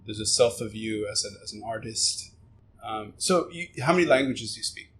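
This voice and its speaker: A man's voice: lowish voice, animated, moderate pace, a bit bright, a little hiss or hum. A man hosting a casual interview, asking reflective questions and answering with short affirmations. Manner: attentive, many short affirmations. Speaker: podcast host, interviewer.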